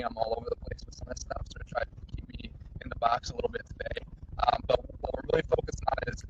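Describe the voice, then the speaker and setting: A man hosting a webinar in a casual, rambling way, with lots of fillers and restarts, talking from a moving vehicle. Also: medium pitch. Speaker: webinar host. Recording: dull lo-fi sound with faint noise.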